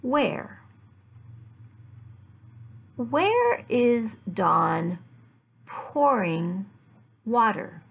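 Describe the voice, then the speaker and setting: A middle-aged woman, an English teacher, giving a lesson in deliberate, word-by-word phrases with repeated questions and answers. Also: fairly high pitch, sing-song, gravelly, breathy edge. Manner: deliberate, word-by-word enunciation for learners. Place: small furnished room at home.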